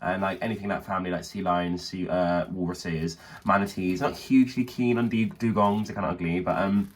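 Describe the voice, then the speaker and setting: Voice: low voice, steady tone, brisk, recorded from a distance. A British podcast host in their twenties chatting casually in a campy, slangy way. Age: 20s. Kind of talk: casual chat.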